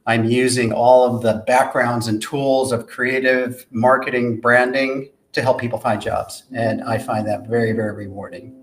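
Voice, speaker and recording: A soft-spoken man who calls himself a low talker, giving measured, articulate answers as a podcast guest. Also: level tone, slight background noise, low-quality recording. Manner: measured and articulate, lists points. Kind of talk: interview answers.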